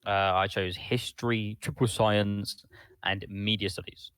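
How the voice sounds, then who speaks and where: A man's voice: on the low side, steady tone, measured pace, a bit bright, clean tone, no echo. A teenage boy from Spain with a Madrid Spanish accent, co-hosting a casual podcast chat, stammering and filling gaps with "you know". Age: teens. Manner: stammering, restarts often, says "you know" a lot. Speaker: podcast co-host.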